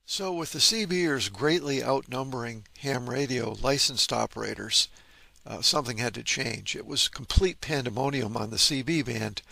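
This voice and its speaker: A man with a gravelly, creaky voice narrates informally as the host of a hobby channel. He sounds keen on his subject and drops in wry asides. The sound is dry, with no room echo, and his pitch sits in an average male range. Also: sharp tone. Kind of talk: informal narration on vintage radio gear.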